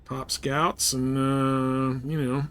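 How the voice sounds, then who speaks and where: A man's voice: mid-range voice, little pitch movement, slow pace, a bit bright, clean tone. Man casually chatting on a game stream. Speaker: live streamer. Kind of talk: casual gaming stream chat.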